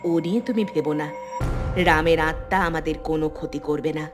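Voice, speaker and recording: A woman's voice: sits low, fairly quick, over music, close to an everyday voice. A woman voicing an anxious but determined mother in a cartoon, earnest and protective. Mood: resolute. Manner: earnest, resolute. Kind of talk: cartoon story dubbing.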